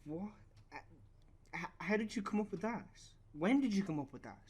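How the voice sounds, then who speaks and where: A man's voice: high voice, lively intonation, moderate pace, darkish tone, breathy edge. An actor with an Irish accent playing a blunt, bewildered young bereaved father in a naturalistic radio play. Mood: shocked.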